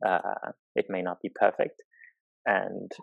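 A man from Zimbabwe with a fairly low voice talks in a reflective, conversational way. He is thoughtful and halting, often searching for the right words. The sound is very dry, with no room echo. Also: steady tone, vocal fry, narrow, tinny audio. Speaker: interview guest, professional climbing route setter.

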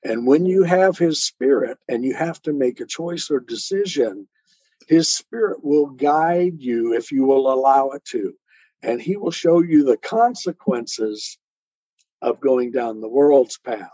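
A man's voice: medium pitch, animated, measured pace, warm tone. A man speaking as an interview guest, earnestly explaining spiritual ideas step by step in a didactic way. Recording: muffled, roomy, lo-fi sound.

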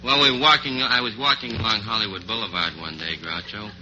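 Young male contestant from Boston. Lowish, sharply bright voice, conversational, on a muffled vintage radio recording. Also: fairly even intonation, a little fast, faint music behind. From Boston, Massachusetts. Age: young adult. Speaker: quiz show contestant, antique dealer. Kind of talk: chatty answers to a host. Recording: dull, noisy old radio recording.

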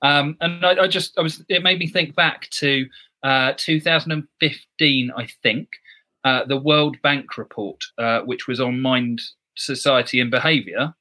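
Bright-voiced man in podcast talk. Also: mid-range voice, unhurried. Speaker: driving instructor guest. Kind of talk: podcast discussion.

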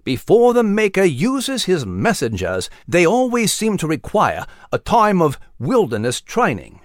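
A man's voice: sits high, wildly swooping pitch, unhurried, no room sound, studio quality, full character voice. A man voicing a scholarly, genteel mouse, delivering mock news commentary with some pomp. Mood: genteel, curious. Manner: erudite, genteel, pompous. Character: proper, scholarly British male mouse newsreader.

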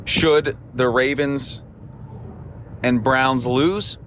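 A man hosting a solo sports podcast, talking through upcoming games in an upbeat, chatty way, his voice temporarily husky. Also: medium pitch, fairly bright tone, a little hiss or hum. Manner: upbeat, chatty analysis with a cheerful sign-off. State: voice temporarily husky and lower than his norm. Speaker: podcast host.

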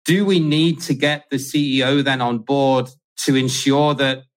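A man's voice: mid-range voice, fairly even intonation, measured pace. A man hosting a livestreamed podcast interview, asking questions conversationally and often restarting his phrases. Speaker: podcast host.

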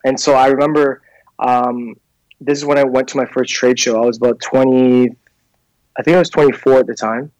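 A man's voice: medium pitch, fairly even intonation, fairly quick, a little mellow, no echo, highs cut off. A man in his thirties from Canada, a clothing brand founder, telling his story in a casual interview with many fillers and restarts. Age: 30s.

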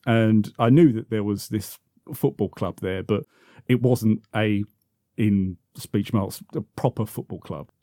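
A man's voice: mid-range voice, mellow, dry recording, clean studio sound. A man in his fifties with a London accent, reminiscing casually in an interview, hesitant and often correcting himself as he rambles along. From South London, England. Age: 50s. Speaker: interview guest, football podcaster.

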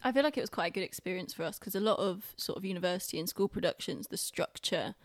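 A young woman hosts a casual conversation on a close, dry microphone. She talks informally, hedging her points and gently putting herself down as she works through her thoughts. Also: fairly low pitch, animated, fast, no room sound. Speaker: podcast host, theatre director. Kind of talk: casual chat.

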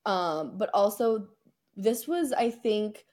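A woman co-hosting a podcast, chatting casually about sports in an informal, slangy, excitable way. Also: mid-range voice, slow. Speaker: podcast co-host.

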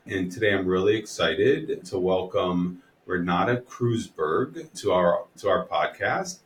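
A man who is an attorney hosting a podcast, asking questions in a friendly, halting way with frequent restarts. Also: low voice, expressive, roomy sound, a little hiss or hum. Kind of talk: podcast interview.

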